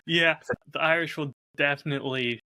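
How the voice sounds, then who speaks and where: Bright, forward-sounding man chatting casually. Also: a little high, fairly even intonation, normal speed. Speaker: podcast host and whiskey audio drama creator. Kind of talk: casual chat, showing a bottle on a recording. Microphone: close lav mic.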